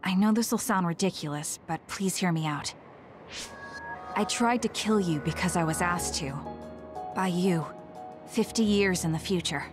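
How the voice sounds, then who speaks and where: A woman voicing a serious young female platoon leader, measured and formal, in an anime-style game. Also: fairly low pitch, faint music behind, lightly acted. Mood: grave.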